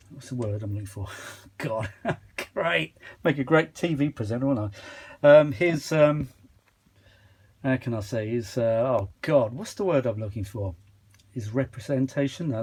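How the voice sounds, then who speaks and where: A man's voice: medium pitch, darkish tone. A man presenting a casual restoration vlog in a chatty, self-deprecating way, often losing his thread and searching for words. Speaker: vintage audio restorer presenting his own video. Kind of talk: rambling casual demonstration vlog. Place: small cluttered kitchen used as a workshop.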